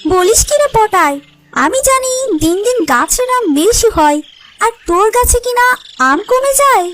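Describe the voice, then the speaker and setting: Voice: extremely high pitch, very animated, moderate pace, over music. A performer voicing a shrewd goat friend in a cartoon, handing out advice in a knowing way. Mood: knowing, advising. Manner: knowing, advising. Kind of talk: cartoon voice acting.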